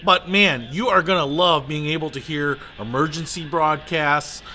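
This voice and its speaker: Bright-voiced man reviewing a gadget. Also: a little high, moderate pace, faint music behind. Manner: enthusiastic, colloquial. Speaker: product reviewer. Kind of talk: casual hands-on product review to camera.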